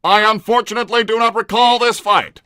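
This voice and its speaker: A man playing a literal-minded combat robot who speaks in formal, deadpan, stilted sentences in a livestream roleplay. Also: high-pitched, fairly quick, clear, resonant tone, no room sound, studio quality. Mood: matter-of-fact. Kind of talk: in-character livestream roleplay, reading viewer messages.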